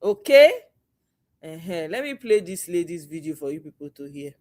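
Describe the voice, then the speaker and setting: A woman's voice: fairly low pitch, big pitch swings, moderate pace, darkish tone, slight background noise. A Nigerian woman hosting a political commentary vlog, talking bluntly and slipping Pidgin into her English. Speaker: host.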